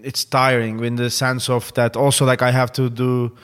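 Young turkish guy chatting loose, lots of uh and like, mid pitch. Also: little pitch movement, moderate pace, fairly bright tone, clean tone. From Turkey. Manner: rambling, many fillers and restarts. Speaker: guest. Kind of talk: casual interview chat.

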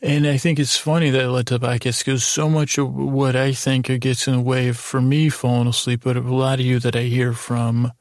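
A man hosting a podcast in a lulling, meandering monologue, halting and self-deprecating, with many false starts. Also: medium pitch, flat delivery, no room sound, studio quality. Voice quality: lulling, soothing tone. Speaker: podcast host. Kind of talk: rambling monologue meant to lull listeners to sleep.

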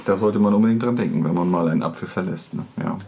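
A man's voice: deep voice, quick pace, warm tone, a little hiss or hum. A man hosting a podcast, casually interviewing a guest with wry, playful asides. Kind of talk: casual, joking interview chat.

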